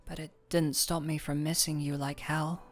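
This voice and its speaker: A young woman playing a shy, smitten former coworker in an intimate romantic audio roleplay, speaking softly and haltingly. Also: low-pitched, level tone, faint music behind. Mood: tender, caring. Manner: shy, halting, soft-spoken.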